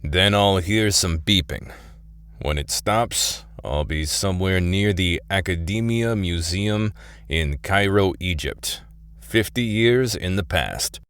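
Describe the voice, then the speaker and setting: A man's voice: sits low, slow, fairly bright tone, clear, resonant tone, dry recording. A man acting in an audio play as a wry, casual middle-aged thief. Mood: wry, curious. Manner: casual, wry, hesitant asides. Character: wisecracking middle-aged thief recruited to time-travel.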